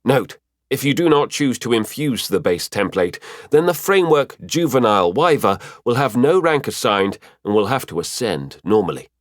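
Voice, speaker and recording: A man's voice: medium pitch, sing-song, moderate pace, no room sound, clean studio sound, pitched above the performer's own voice, close to an everyday voice. A man voicing an impersonal game-like system help desk in an audiobook, formal and procedural. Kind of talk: audiobook character voice.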